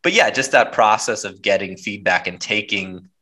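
Brief: thirty-something male podcast host, lowish voice, bright and forceful, loose chatty delivery with frequent laughs. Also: normal speed. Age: 30s. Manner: laughs often, acts out quoted people with animated voice. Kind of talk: casual interview chat.

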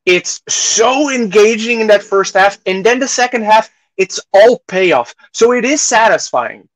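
A man hosting a podcast, chatting casually through film reviews, haltingly repeating phrases and acting out imagined reactions. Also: high voice, expressive, a bit bright, dry recording, low-quality recording. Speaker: podcast host reviewing films.

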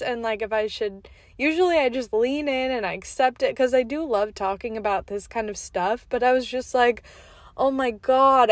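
A young woman with a slightly high, stuffy, nasal voice from a cold rambles through a casual story, sprinkling in "like" and little laughs. She records alone in her car, and the sound is close and dry with no room echo. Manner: rambling, full of "like", laughs between asides. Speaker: podcast host. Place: inside a parked car.

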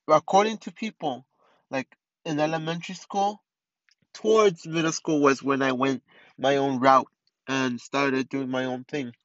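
A man's voice: a little high, a bit bright, dry recording, dull audio. A man in his twenties hosting a casual solo podcast, rambling with many restarts and fillers. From East Los Angeles. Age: 20s. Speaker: podcast host.

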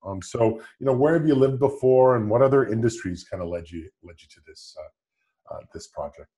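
A man's voice: medium pitch, lively intonation, normal speed, mellow. A man hosting a podcast, reading a scripted opening, then asking upbeat questions full of fillers. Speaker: podcast host. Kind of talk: scripted intro then casual interview.

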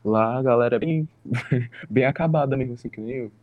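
A young man, a podcast guest chatting casually, easygoing and quick to laugh along. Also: mid-range voice, dry recording, phone-quality sound. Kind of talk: casual chat. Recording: faulty mic, thin sound.

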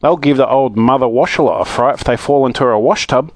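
A man's voice: medium pitch, a little fast, no room sound, natural voice. A man voicing a gleeful, mischievous fellow in a children's story, exclaiming with relish.

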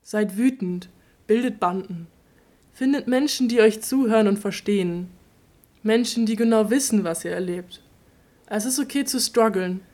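A woman's voice: medium pitch, normal speed, a little mellow, lightly acted. A woman voicing a defiant queer speaker. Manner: defiant, impassioned. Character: queer person angrily addressing their harassers. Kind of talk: theater radio play monologue.

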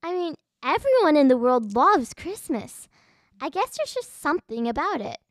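A female host speaks in a high register on a children's podcast. Her delivery is scripted, moving between chat and narration. The recording is dry. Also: sing-song, normal speed, dry recording.

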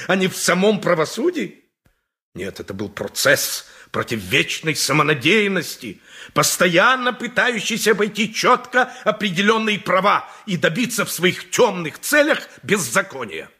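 An actor playing a haughty, self-righteous lawyer in a radio play, declaiming pompously with indignant, grandiose oratory. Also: male voice, high-pitched, big pitch swings, normal speed, sharp tone, husky, exaggerated voice. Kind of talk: 1980s radio play monologue.

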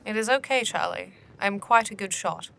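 A woman's voice: mid-range voice, normal speed, gravelly, slight background noise. A woman playing a solemn, patient otherworldly guide who speaks stiffly and formally in a fantasy audio drama. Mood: earnest. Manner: stiffly formal, avoids contractions, deadpan.

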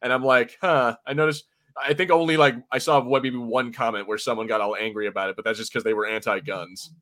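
A man's voice: medium pitch, fairly even intonation, fairly quick, a bit bright. An American man co-hosting a podcast, chatting casually in a rambling, informal way about something he follows as a fan. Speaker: podcast co-host reviewing a TV episode. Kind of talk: casual chat, fan commentary.

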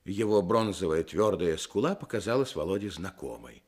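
Lively, expressive male storyteller. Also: deep voice, moderate pace, husky. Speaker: narrator. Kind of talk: scripted storytelling narration for a radio play.

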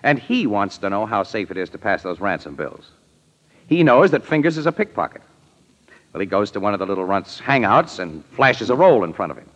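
A man's voice: fairly low pitch, a little fast. A man playing an impatient, slangy American newspaperman in old-time radio drama style. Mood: pointed. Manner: slangy, curt, animated. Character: brisk, impatient hard-boiled newspaperman. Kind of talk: 1940s radio acting. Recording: muffled reverberant old radio broadcast.

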